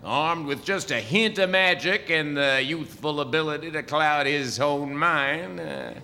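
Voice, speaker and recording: A man's voice: on the high side, a little slow, gravelly, bright, forceful tone, full character voice. A man playing a wry, crusty older traveling wizard with folksy cowboy ways in a comic stage show. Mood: amused. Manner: wry, folksy, hesitant asides. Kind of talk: comic audio theatre acting before an audience. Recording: reverberant live theatre hall.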